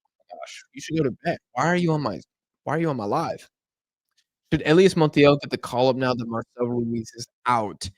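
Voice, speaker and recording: A man's voice: mid-range voice, brisk, no echo, poor audio. A tired young man hosting a casual live stream, chatting loosely and answering questions from the chat while losing his voice. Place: home room in Argentina.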